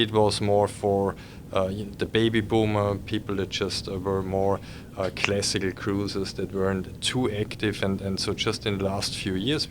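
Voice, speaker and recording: A man's voice: on the low side, background noise. A middle-aged man with an Austrian accent, a cruise executive speaking hesitantly with many fillers and restarts. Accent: Austrian German. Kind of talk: podcast conversation.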